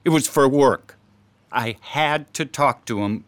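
A man's voice: medium pitch, slow pace, a bit bright, no room sound. A man acting the part of an irritable, defensive middle-aged husband and professor in a radio drama. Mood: irritated, defensive.

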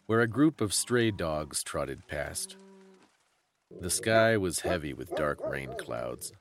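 Very deep, slow, solemn male storyteller. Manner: solemn, suspenseful, deliberate phrasing. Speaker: storyteller and podcast host. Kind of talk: dramatic scripted story reading.

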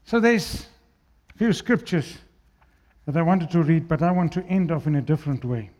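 A man from South Africa with an Afrikaans accent preaching a sermon, swinging between loud declaiming and quiet, low asides. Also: animated, normal speed.

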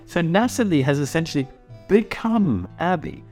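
Man in his sixties, thoughtful and halting. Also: fairly high pitch, wildly swooping pitch, darkish tone. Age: 60s. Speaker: writer and voice actor. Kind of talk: casual reflective interview talk. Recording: music behind the voice. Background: plucked guitar music.

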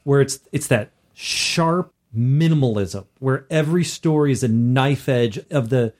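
A man's voice: mid-range voice, measured pace, darkish tone. A man co-hosting a podcast, chatting casually and giving opinions in a blunt, emphatic, informal manner. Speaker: podcast co-host.

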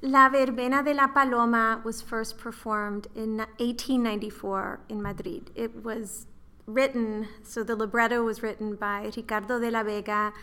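Bright, clear-voiced woman guest. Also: on the high side, steady tone, moderate pace. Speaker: guest, librettist and professor. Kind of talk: interview answers.

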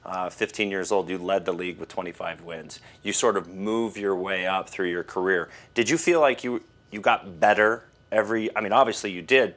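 Man hosting a casual podcast, lowish voice, long rambling questions. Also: a bit bright. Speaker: podcast co-host.

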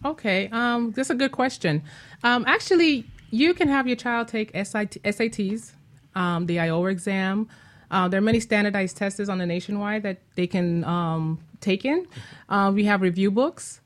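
A woman's voice: mid-range voice, normal speed. A woman speaking as a guest in a conversational interview, explaining things as a parent.

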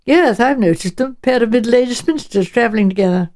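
Audiobook part: interrogator voiced by a woman, attentive and probing, economical delivery, soft-edged tone. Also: a little high, a little fast, close to an everyday voice. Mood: inquiring. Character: probing professional listener questioning an old lady.

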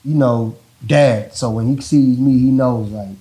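A man with a deep voice talking casually about parenting, rambling and often checking in with the listener. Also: unhurried, rough recording. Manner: relaxed, rambling, repeats "you know what I'm saying". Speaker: father in a couple interview.

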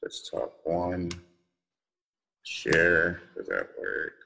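A young man with a deep voice giving a casual, halting personal talk on a video call, full of fillers and restarts. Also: expressive, creaky, bright, forceful tone. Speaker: event speaker.

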